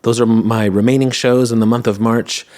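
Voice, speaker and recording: Guy doing a chatty solo podcast, tired slightly hoarse smoky voice, wry and rambly. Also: mid-range voice, fairly even intonation, normal speed, a little mellow. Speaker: podcast host. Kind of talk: casual solo chat. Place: at home, indoors.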